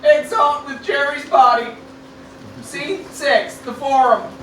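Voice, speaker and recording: A very echoey, distant recording with faint noise. A high-voiced woman narrates an amateur radio play, reading out short stage directions and scene headings. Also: steady tone, slow pace.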